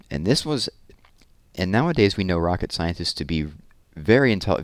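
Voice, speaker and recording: A man reading a children's story aloud as host, with an expressive, deliberate storyteller delivery. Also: sits low, dry recording. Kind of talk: expressive children's picture book read-aloud with informal asides.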